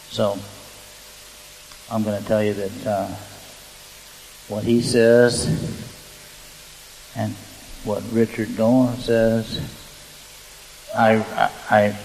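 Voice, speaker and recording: A man answering off the cuff as a conference panelist, halting and rambling, with a joking streak. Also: on the low side, creaky, a little mellow. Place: large conference hall, through PA. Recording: hissy conference hall, long reverb.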